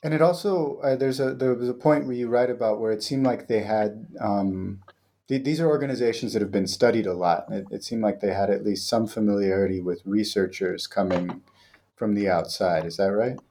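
A man's voice: on the low side, a little fast, warm tone. A man hosting an interview, asking questions in a hesitant way and rephrasing as he goes. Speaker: podcast host.

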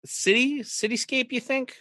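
A man's voice: very high-pitched, steady tone, unhurried, sharp tone, no room sound, studio quality. A man co-hosting a podcast, joking sarcastically and rambling as he picks apart a movie poster. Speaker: podcast co-host. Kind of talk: casual comedic chat reviewing a movie poster.

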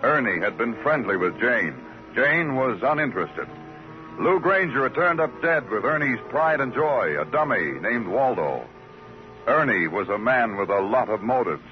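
A man's voice: medium pitch, sing-song, moderate pace, natural voice. Man playing a wry hardboiled detective, muffled recording. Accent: American. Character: wry, probing male police lieutenant. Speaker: lead actor and narrator. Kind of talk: hardboiled 1950s radio drama narration and acting. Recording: muffled old radio recording with music.

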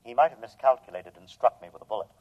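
A man's voice: medium pitch, expressive, very fast, natural voice. A humanoid robot, acted by a man in a vintage radio play, speaks in a middle register with cold courtesy. Every phrase is formal, exact and matter-of-fact, and he sounds sure of his superiority. The old recording is dull and lo-fi. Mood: coldly reassuring. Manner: formal, precise, matter-of-fact speech without contractions. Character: calm, superior male humanoid robot, coldly polite. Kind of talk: old-time radio drama acting.

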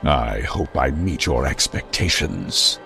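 An actor playing a grandiose, vain, aging masked vigilante hero in old-time radio style, pompous and melodramatic. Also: male voice, a bass voice, lively intonation, takes it slowly, vocal fry, pushed and bright, faint music behind, full character voice. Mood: menacing.

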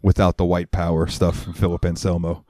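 Podcast co-host, a lower-voiced man, rambling storyteller who swears, dry close mic. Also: completely flat delivery, a little fast, mellow, no room sound. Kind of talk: casual chat. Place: US South, central Florida.

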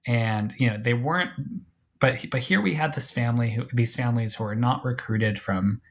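A man's voice: medium pitch, moderate pace. A man being interviewed about his book, speaking thoughtfully, with frequent restarts and hedges. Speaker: author and guest. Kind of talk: academic interview.

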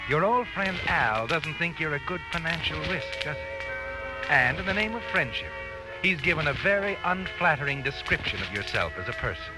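A man playing an ominous, taunting, all-knowing narrator of dark tales in a 1940s radio mystery. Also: medium pitch, low-quality recording. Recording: old radio recording with music.

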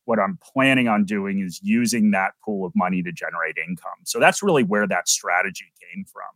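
A middle-aged American man, a podcast guest, explaining investing in a relaxed, conversational way with many "you know"s. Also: low-pitched, a little fast, no room sound, poor audio. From United States. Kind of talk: podcast interview.

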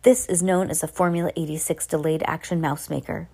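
A woman's voice: low-pitched, steady tone, fairly quick, mellow, husky. A woman voicing a gloating, commanding evil grand witch in broken English for a storybook reading. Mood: commanding, scheming. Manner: broken grammar, boastful, commanding.

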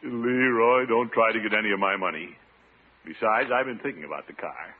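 An actor playing a self-satisfied, bumbling middle-aged bachelor uncle in vintage radio comedy, pompous and easily flustered. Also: male voice, on the low side, fairly quick, lightly acted. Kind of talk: 1940s-50s radio comedy acting. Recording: vintage radio recording, narrow band, hissy.

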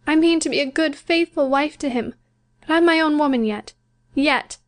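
A woman reading a story aloud, voicing a gentle, resigned young bride who speaks softly and pleads. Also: high voice, moderate pace, pitched above the performer's own voice, lightly acted. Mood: soft, wistful. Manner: soft and pleading. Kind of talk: audiobook character voice.

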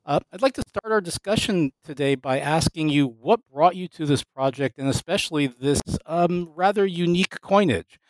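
Measured male podcast host, dry sound. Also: a little high, animated, no room sound, rough recording. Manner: measured, formal reading. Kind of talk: scripted introduction and interview questions.

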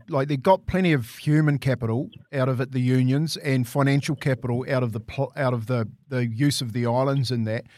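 A man's voice: medium pitch, dry recording. Conversational male radio host. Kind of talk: radio interview.

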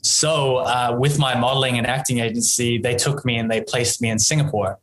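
A man's voice: medium pitch, steady tone, a little fast, fairly bright tone, poor audio. Aussie guy in his 30s, casual interview chat, rambly and restarting, bit of noise behind him. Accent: Australian. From Australia. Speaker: podcast guest, entrepreneur. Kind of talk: casual conversational storytelling.

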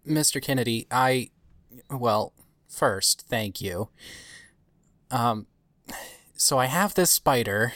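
A woman acting a slow, hesitant, pleading hunter. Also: very deep voice, expressive, fairly bright tone, no echo, heavily acted. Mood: hesitant, grateful. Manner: halting, hesitant, unsure. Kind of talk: scripted audio drama dialogue.

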